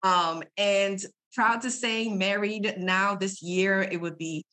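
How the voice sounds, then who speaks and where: A woman in her forties with a medium-pitched voice, originally from Trinidad and Tobago. She talks about herself in a conversational way, as a guest on an interview show. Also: fairly even intonation, takes it slowly, sharp tone. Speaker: podcast guest, HR consultant and coach.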